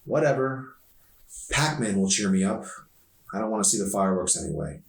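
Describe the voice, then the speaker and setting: A man's voice: fairly low pitch, sing-song, fairly quick, gravelly. A man voicing a sarcastic, teasing, spirited teenage girl from a novel, in an echoey recording. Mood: annoyed, sarcastic. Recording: echoey room, distant mic.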